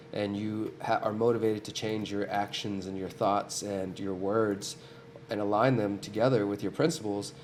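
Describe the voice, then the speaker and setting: A man with a fairly low voice hosts a live stream while fighting a cold. He talks to his viewers in an earnest, direct way, restarting phrases as he goes. Faint room noise sits behind him. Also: a little mellow. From United States. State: has a cold. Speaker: host of a weekly live stream. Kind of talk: casual live-stream commentary.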